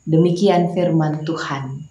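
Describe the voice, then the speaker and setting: A woman pastor with a Makassar accent leads a morning devotional in a low, unhurried voice. She moves from quiet prayer to emphatic preaching. The recording sits in an echoey room with a faint hiss behind her. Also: steady tone.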